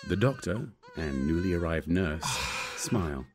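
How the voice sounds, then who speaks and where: Deep, animated man reading screenplay narration. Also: a little slow, noisy background. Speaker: script narrator.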